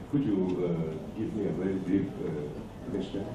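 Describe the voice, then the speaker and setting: A man from Thailand presenting at a conference, answering audience questions in a halting way with many fillers and restarts. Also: deep voice, noisy background. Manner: hesitant, halting, restarts words, frequent fillers. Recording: echoey hall, distant dull mic.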